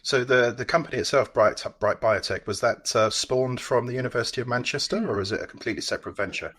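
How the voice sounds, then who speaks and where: Brisk, bright-voiced man hosting an interview. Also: medium pitch, breathy edge. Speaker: interview host. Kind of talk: prepared introduction and interview questions.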